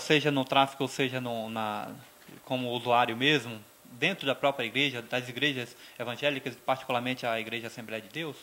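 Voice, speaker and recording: Young guy interviewer, bright tense voice, stumbles through his questions, handheld mic. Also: mid-range voice. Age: young adult. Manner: hesitant questions with fillers. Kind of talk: local TV interview. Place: small studio in front of a logo backdrop.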